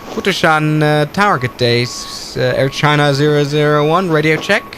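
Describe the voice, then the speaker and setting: A man's voice: a little high, normal speed, background noise. A man casually talking through a flight simulator stream, narrating each step aloud and correcting himself as he goes. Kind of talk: casual live-stream commentary.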